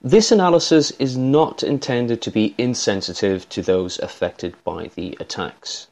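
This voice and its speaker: A man with an Irish accent giving scripted, measured analytical commentary as a voice analyst. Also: medium pitch, creaky, a little mellow.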